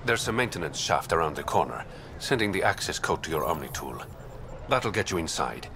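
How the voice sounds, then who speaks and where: An actor playing a suave, roguish young smuggler in a video game, smooth, sly and teasing. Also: male voice, low-pitched, sing-song, fairly quick, noisy background. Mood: teasing, confident. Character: suave, roguish adult male smuggler and informant. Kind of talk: video game dialogue acting.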